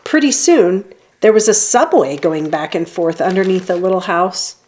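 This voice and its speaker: A woman reading a children's story aloud in an expressive storytelling manner, leaning on key phrases. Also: lowish voice, moderate pace, slight background noise. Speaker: storybook reader. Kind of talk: children's read-aloud narration.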